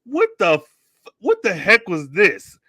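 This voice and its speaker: A man's voice: high-pitched, huge pitch swings, slow, pushed and bright, breathy edge, no echo, clean studio sound. A man hosting a casual podcast chat, laid-back, laughing often and swearing casually. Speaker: podcast host.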